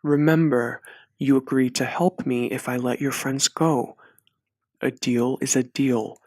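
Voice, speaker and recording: An actor playing a coercive villain in an audiobook, quietly persuasive and patronizing, with false care. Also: male voice, medium pitch, steady tone, measured pace, no room sound, lightly acted. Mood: coaxing menace. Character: male villain, falsely caring, coercive older brother. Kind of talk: audiobook character acting.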